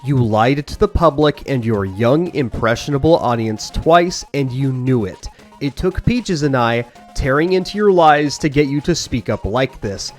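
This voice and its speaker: A man's voice: mid-range voice, lively intonation, unhurried, faint music behind. A man delivering scripted commentary as a guest, in an accusatory, emphatic manner.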